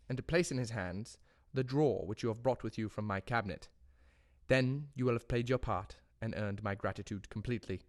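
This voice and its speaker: A man playing a desperate gentleman doctor in an audio drama, delivering a formal, grave, pleading letter. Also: mid-range voice, close to an everyday voice.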